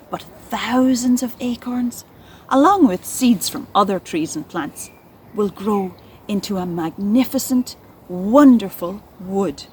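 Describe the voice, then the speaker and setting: Slow, expressive woman reading for children. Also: medium pitch, a little hiss or hum. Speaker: presenter and poem reader. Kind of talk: expressive educational narration and poetry reading for children.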